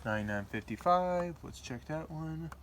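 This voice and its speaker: A man's voice: a little high, animated, moderate pace. A man presenting as a radio hobbyist, talking casually and plainly as he gives a hands-on demonstration. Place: indoors at night beside radio and laptop. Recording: home video with background noise.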